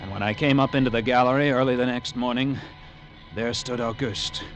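A man's voice: mid-range voice, fairly even intonation, fairly quick, music in the background, natural voice. An actor in an old-time radio drama playing a haunted lighthouse keeper who narrates his horror tale in a brooding, dramatic way. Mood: grim, horrified.

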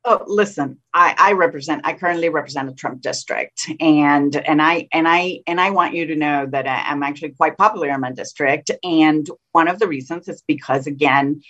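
Animated woman with a slight Colombian accent. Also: low voice, moderate pace, few pauses, highs cut off. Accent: Colombian Spanish. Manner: animated and combative, frequent restarts. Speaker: state senator, political guest. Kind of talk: casual political interview.

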